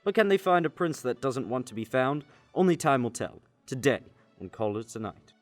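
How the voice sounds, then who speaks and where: A man's voice: medium pitch, animated, moderate pace, no echo, natural voice. A young man playing the dramatic narrator of a fantasy radio serial. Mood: suspenseful. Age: young adult. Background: music.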